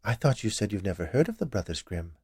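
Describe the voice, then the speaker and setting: A man's voice: fairly low pitch, sing-song, quick pace, darkish tone, breathy edge, no room sound, exaggerated voice. Storybook health inspector, man acting: fussy, precise, formal and dry, businesslike male voice. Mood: amused. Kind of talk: dramatized short story reading.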